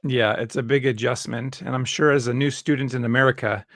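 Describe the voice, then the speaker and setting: Casting: upbeat male webinar host, mid pitch, dry close sound, filler-heavy friendly delivery. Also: steady tone, a little fast, no room sound. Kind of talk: webinar interview. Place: Dallas, Texas.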